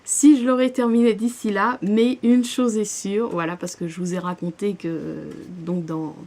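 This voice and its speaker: A woman's voice: medium pitch, fairly even intonation, moderate pace, mellow. A young woman vlogging about her current reading in a casual, hesitant way, full of fillers and restarts. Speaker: book vlogger.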